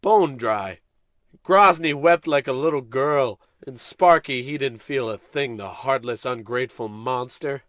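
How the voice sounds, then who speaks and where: A man's voice: mid-range voice, measured pace, fairly bright tone, no echo, lightly acted. Man playing a pompous, blustering radio-play space captain. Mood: bitter, dismissive. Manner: grandiose, bossy, with dry sarcastic asides. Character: pompous, callous American spaceship captain, blustering and self-important. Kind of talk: comic old-time radio play acting.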